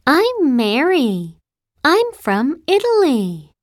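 A cheerful young schoolgirl from Italy, as a woman reads her, with a high voice that swoops up and down. She speaks at a very slow, careful pace, with each word clearly shaped. The recording is close and dry. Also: huge pitch swings, dry recording, exaggerated voice. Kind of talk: slow, carefully enunciated test dialogue.

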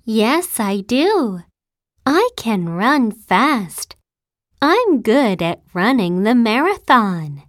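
A woman voicing a cheerful high-voiced schoolgirl. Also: big pitch swings, drawn-out words, dry recording, studio quality, heavily acted. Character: cheerful young schoolgirl from Italy. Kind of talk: slow, carefully enunciated test dialogue.

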